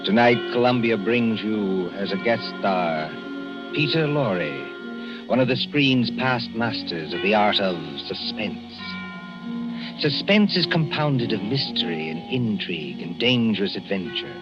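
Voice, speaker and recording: A man narrating a 1940s radio suspense drama in a measured, ominous, theatrical way. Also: on the low side, very animated. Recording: dull vintage radio recording with music. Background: music.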